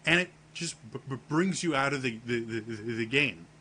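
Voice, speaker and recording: A man talking casually to camera on his vlog, with a deep voice and a heavy stutter that repeats the first sounds of words. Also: lively intonation, normal speed, sharp tone, a little hiss or hum. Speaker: vlogger.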